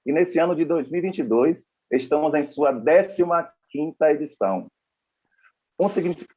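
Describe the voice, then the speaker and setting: A young man from Salvador, giving a formal, measured introduction as panel moderator, with pauses and restarts. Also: medium pitch, a little mellow, highs cut off. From Salvador, Bahia, Brazil.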